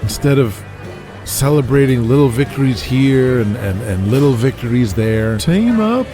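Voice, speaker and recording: A man acting an eager, excitable young wrestling upstart in an audio drama. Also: mid-range voice, normal speed, music in the background, close to an everyday voice. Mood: eager, rallying.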